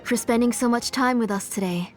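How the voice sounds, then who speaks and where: A woman's voice: fairly high pitch, brisk, close to an everyday voice. A woman playing a polite, protective but controlling aunt in a video game, composed and formal. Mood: gracious.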